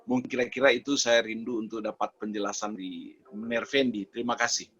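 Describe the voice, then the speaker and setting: A man from North Sulawesi, asking a question at a webinar in short, halting phrases. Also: lowish voice, fairly even intonation, fairly bright tone, husky. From Minahasa, North Sulawesi, Indonesia. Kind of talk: informal spoken question. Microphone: video call. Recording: dull, lo-fi online call audio.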